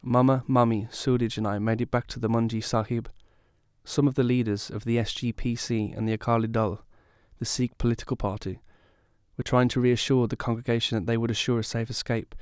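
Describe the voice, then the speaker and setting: A man's voice: medium pitch, a little mellow, smooth, no room sound, clean studio sound, close to an everyday voice. A man playing a bereaved young survivor, giving dramatised first-person narration of a family tragedy.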